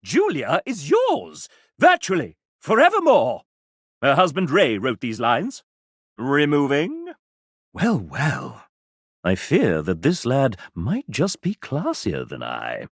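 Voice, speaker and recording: A man voicing a sassy, arch gentleman who narrates a dating video game in a theatrical, mock-genteel and sardonic manner. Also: mid-range voice, wildly swooping pitch, slow, slightly rough, no room sound, clean studio sound, heavily acted. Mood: delighted, grandiose.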